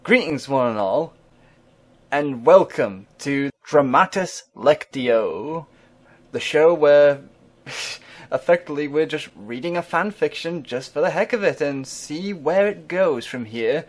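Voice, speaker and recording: A man's voice: on the high side, lively intonation, slow pace. A man hosting a casual reading podcast, wry and chuckling. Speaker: host.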